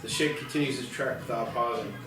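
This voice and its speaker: In a very echoey room with music behind, a man narrates screenplay stage directions. His voice is mid-pitched and sits back from the mic. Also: steady tone, fast, recorded from a distance. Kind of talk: scripted narration at a table read.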